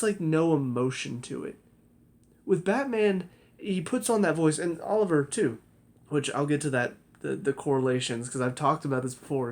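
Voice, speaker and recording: A man giving a casual spoken review of a TV episode, critical and rambling, often restarting his sentences. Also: fairly high pitch.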